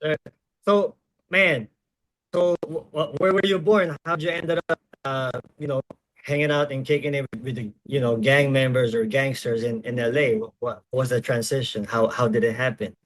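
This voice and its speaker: A man in his thirties hosting a casual interview, relaxed and often repeating his words. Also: mid-range voice, moderate pace, low-quality recording. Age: 30s. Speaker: host.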